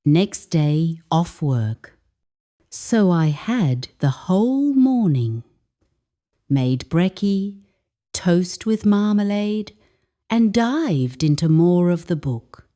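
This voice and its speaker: A woman, an English teacher, calmly reading a first-person story aloud as scripted narration. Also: lowish voice, drawn-out words.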